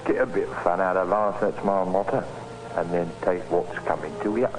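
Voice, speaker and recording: A man's voice: deep voice, fairly even intonation, moderate pace, close to an everyday voice. An actor playing a scheming working-class London Cockney handyman and murderer in an old-time radio drama, muttering and slyly deferential. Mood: calculating, brooding. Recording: noisy vintage radio recording.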